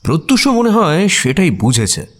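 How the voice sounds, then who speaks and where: Very animated mystery story narrator. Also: male voice, on the high side, normal speed. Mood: amused, curious. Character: curious, amused male narrator and detective's companion. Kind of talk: dramatic scripted story narration for radio.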